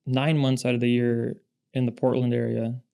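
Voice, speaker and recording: A man in his thirties, born in Russia and raised in the Pacific Northwest, talks as a podcast guest. His voice is smooth and resonant, with a fairly even pitch, and he tells his stories in a steady, measured way. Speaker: podcast guest, industry founder. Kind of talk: casual interview chat.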